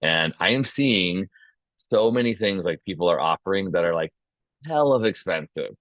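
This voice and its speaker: A man's voice: low voice, animated, normal speed, a bit bright, no room sound, low-quality recording. A man casually hosting an online video meeting, informal and chatty with a promotional bent. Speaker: host and founder of a film community.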